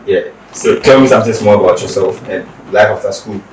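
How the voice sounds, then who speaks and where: A man's voice: lowish voice, brisk, darkish tone. A man hosting a casual interview podcast, teasing his guests and slipping into pidgin. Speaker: podcast host. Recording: roomy echo, distant mic, faint noise.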